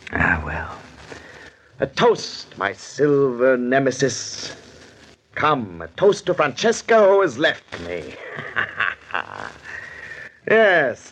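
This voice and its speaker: A man's voice: lowish voice, fairly bright tone, slight background noise, exaggerated voice, low-quality recording. A man playing a brooding, unhinged governor in a theatrical, musing 1940s radio drama style. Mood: bitterly mocking. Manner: theatrical, musing, drawn-out delivery. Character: brooding, unhinged governor talking to his silver goblet.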